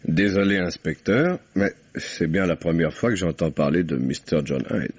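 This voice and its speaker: An actor playing a suave, sly English gentleman in a French radio mystery, speaking with hesitant, drawling, ironic politeness. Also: deep voice, animated, normal speed, husky. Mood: politely mocking. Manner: urbane, hesitant, drawling politeness with wry irony. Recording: dull, muffled old radio recording.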